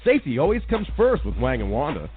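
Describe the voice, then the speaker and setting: Upbeat, earnest ad announcer played by a man, animated delivery, lo-fi radio spot with a light music bed. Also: fast. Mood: upbeat selling. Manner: earnest salesman pitch. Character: upbeat commercial announcer for dodgy driving school. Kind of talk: scripted parody radio ad. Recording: tinny lo-fi radio, faint music.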